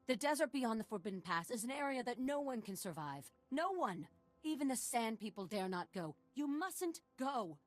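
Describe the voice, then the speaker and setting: A woman's voice: a little high, very animated, moderate pace. A woman playing a fearful, deferential desert guide in a video game, speaking in an earnest, formal and dramatic way. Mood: alarmed, fearful.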